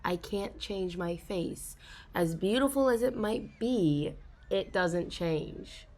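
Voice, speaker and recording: A young woman in an ASMR role-play, confiding softly to a listener, giggling often and halting with restarts. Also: mid-range voice, lively intonation, slight background noise. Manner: soft, giggly, self-conscious, halting with many restarts.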